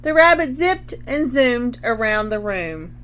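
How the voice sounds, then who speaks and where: A woman teacher reading a story aloud to children, deliberately and instructively, stopping to explain key words. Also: on the high side, sing-song, a bit bright.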